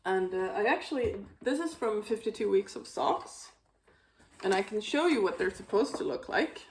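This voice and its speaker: A woman hosting a knitting video, chatting casually about her projects, with a slightly raspy voice and a rambling, filler-heavy way of talking. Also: mid-range voice, animated, normal speed, a little mellow, slight background noise. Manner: rambling, many fillers and restarts. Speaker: knitting podcast host.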